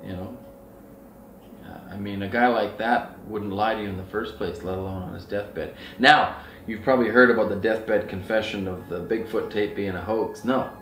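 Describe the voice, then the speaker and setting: Echoey, low-voiced middle-aged man telling anecdotes. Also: steady tone, normal speed, fairly bright tone, a little hiss or hum. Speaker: outdoor outfitter and Sasquatch researcher. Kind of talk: casual rambling anecdotal talk. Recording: roomy echo, distant mic, faint music.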